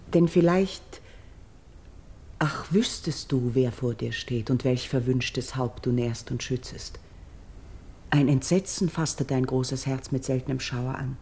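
Low, mellow-voiced noble priestess. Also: female voice. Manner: formal, measured, reverent declamation. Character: noble, reserved exiled priestess, humble and guarded. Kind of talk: classical verse radio drama.

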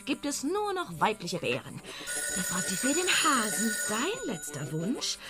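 Fed-up, sarcastic partner; dry joke-teller with a bright, animated voice, radio drama. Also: female voice, a little high, unhurried, loud music behind the voice. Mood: sardonic. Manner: dry, mocking joke-telling. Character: sardonic, fed-up woman mocking her bossy man. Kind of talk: radio play acting.

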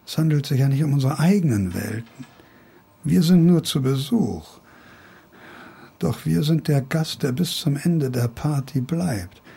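Radio drama role: sardonic older literature professor, gravelly, badly husky, condescending, fond of grand musings. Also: male voice, a little high, lively intonation, a little slow, mellow, exaggerated voice. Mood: weary, philosophical. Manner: condescending, needling, philosophizing.

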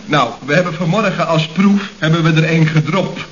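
Man acting a blunt young nephew, highish voice. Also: fairly quick, close to an everyday voice. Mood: deadpan. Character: matter-of-fact young nephew, naively blunt. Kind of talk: radio comedy serial acting. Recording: muffled old radio recording.

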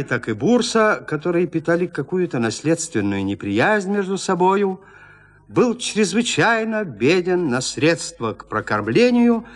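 A man narrating literary fiction in an expressive, theatrical, gently ironic storytelling manner. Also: on the high side. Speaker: narrator reading classic fiction.

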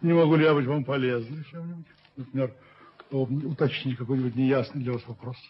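An actor playing a dignified older businessman in a radio play, formal, measured, courteous but firm. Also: medium pitch, fairly even intonation, lightly acted. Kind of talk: Soviet radio play acting. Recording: dull, hissy 1970s radio recording.

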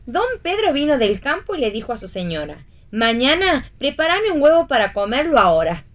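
A woman's voice: high-pitched, very animated, brisk. A woman playing a chirpy, joking family member in a radio play reading. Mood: playful. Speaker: actress in a read-theatre radio play.

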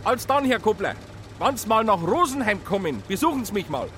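An actor playing a big, jovial, enthusiastic cheese dealer with a Bavarian accent, hearty and sociable, in a radio drama. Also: male voice, high-pitched, sing-song, normal speed, a bit bright, a little hiss or hum.

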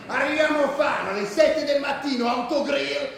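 A high-pitched, rowdy football ultra. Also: male voice, moderate pace, gravelly, full character voice. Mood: amused, incredulous. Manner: coarse, animated, shouty storytelling. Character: rowdy, foul-mouthed male football ultra telling war stories. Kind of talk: radio drama monologue, spoken like street anecdote. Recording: roomy, distant echoing radio recording.

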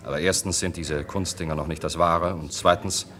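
An actor playing a coldly courteous, menacing clinic doctor in a radio play, polite and condescending. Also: male voice, low voice, steady tone, normal speed, pushed and bright. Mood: persuasive. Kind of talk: German radio play acting.

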